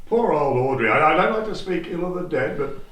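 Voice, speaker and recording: A man playing a self-important radio producer turned actor in a comedy drama, speaking in a halting, hesitant way. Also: a little high, lively intonation, fairly bright tone. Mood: pleased, pompous. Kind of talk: amateur-style comedy drama dialogue. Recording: echoey room, distant microphone.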